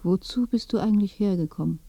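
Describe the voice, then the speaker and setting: A woman's voice: medium pitch, fast talker, dark tone, lightly acted. An actress playing a weary, disillusioned dead old village woman in a German radio play, matter-of-fact and muted. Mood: weary, bitter. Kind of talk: German radio play acting, intimate dialogue.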